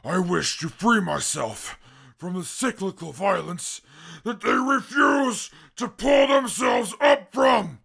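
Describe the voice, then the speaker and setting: A man voicing an eloquent, pompous and brutal super mutant with a gravelly, husky voice, in a post-apocalyptic radio drama. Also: sits high, very animated, slow, a bit bright, exaggerated voice. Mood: weary, indignant. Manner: pompous, formal, wordy, prone to violent outbursts.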